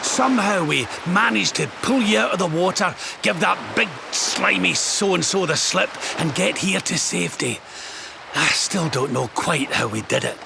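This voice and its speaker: A man playing a warm, excitable older companion in a game, telling things in a folksy, animated way. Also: high-pitched, normal speed, vocal fry, background noise, full character voice. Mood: excited, relieved. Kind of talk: scripted game cutscene acting. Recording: music behind the voice.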